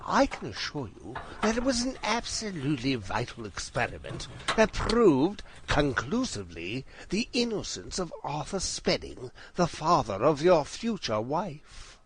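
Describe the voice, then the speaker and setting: An actor playing a brilliant, self-assured Victorian gentleman detective in an audio drama, with a precise, formal and theatrical delivery. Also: on the high side, wildly swooping pitch, measured pace, hardly any pauses, a little hiss or hum. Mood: teasing, precise.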